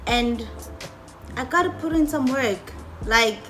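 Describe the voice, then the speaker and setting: A woman's voice: on the high side, takes it slowly, fairly bright tone, soft background music. A young woman, a medical student, speaking in a determined, emphatic way in a casual group discussion. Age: young adult.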